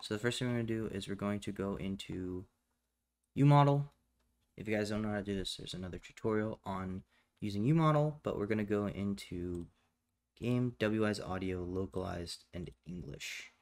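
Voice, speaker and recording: A man narrating a step-by-step software tutorial in a matter-of-fact, instructional way. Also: lowish voice, moderate pace. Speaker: tutorial presenter.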